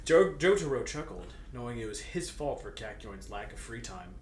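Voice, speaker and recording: Animated man reading aloud in an echoey room. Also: medium pitch, moderate pace. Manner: theatrical, breaks into laughter, bursts into mock shouting. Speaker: co-host reading fan fiction aloud. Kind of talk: comedic read-aloud with joking commentary. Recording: roomy echo with clear background noise.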